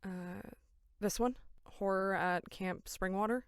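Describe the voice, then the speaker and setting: A woman's voice: medium pitch, slow, clear, resonant tone, no room sound, clean studio sound. A woman acting in an audio drama as a level-headed young camp counselor, sincere, polite and matter-of-fact. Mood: practical.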